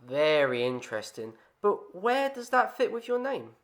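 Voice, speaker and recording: A woman's voice: lowish voice, very animated, moderate pace. A woman acting as a polite, formal radio interviewer in an amateur radio play. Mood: polite, dry.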